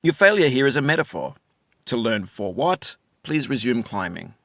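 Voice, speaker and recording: A middle-aged man narrating a video game in a calm, wry, philosophical voice-over. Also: medium pitch, normal speed, no room sound, clean studio sound.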